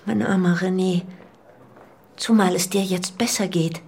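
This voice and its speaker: Husky, uneasy wife. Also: female voice, fairly low pitch, fairly even intonation, unhurried, darkish tone. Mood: uneasy, solicitous. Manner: hesitant, solicitous. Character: uneasy, apologetic wife visiting her sick husband. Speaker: actress. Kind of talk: radio drama acting.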